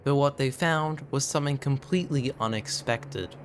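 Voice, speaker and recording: A man reading scripted commentary in a video essay, with measured storytelling broken by conversational asides. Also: mid-range voice, noisy background. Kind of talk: scripted narration, horror series commentary. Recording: background music under voice. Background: background music.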